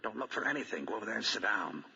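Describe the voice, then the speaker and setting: An actor playing a suave, wry American husband and amateur detective with deadpan wit, in 1940s radio style. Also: male voice, lowish voice, rapid-fire, creaky, heavily acted. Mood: dry, patient. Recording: muffled, faintly noisy 1940 radio broadcast.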